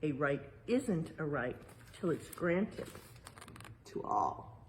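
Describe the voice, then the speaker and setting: American woman reading aloud, lowish voice, noisy background. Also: big pitch swings, slow. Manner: simple, explanatory, addressing young listeners. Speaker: museum educator reading a picture book aloud. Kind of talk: read-aloud with explanatory asides.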